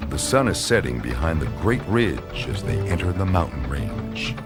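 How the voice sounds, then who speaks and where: A man's voice: very deep voice, sing-song, normal speed, over loud music. A man narrating a radio drama serial as a theatrical storyteller. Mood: wry storytelling.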